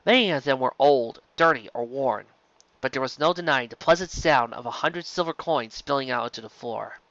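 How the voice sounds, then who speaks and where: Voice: medium pitch, moderate pace, a bit bright, no echo, highs cut off. A narrator reading a story aloud from a script. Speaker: audiobook reader of fan fiction.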